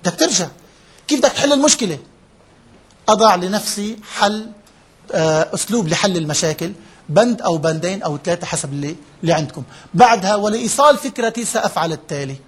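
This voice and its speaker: Male workshop trainer, higher voice, slightly husky, talks fast, keeps checking the room understands. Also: expressive. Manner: explains step by step, checks in with listeners often; drops English terms into his speech. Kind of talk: interactive lecture to a live group.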